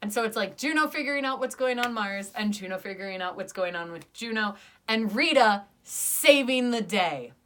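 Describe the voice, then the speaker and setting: A young woman in casual conversation, her voice bright and forward. She talks with eager, chatty enthusiasm and argues in good fun. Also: medium pitch, animated, moderate pace. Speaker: podcast review co-host. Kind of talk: casual chat.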